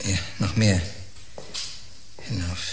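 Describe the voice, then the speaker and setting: An actor playing a brisk, exacting male surgeon in a sci-fi radio drama, giving clipped commands. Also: deep voice, fairly even intonation, background noise. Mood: focused, commanding. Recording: music under the voice.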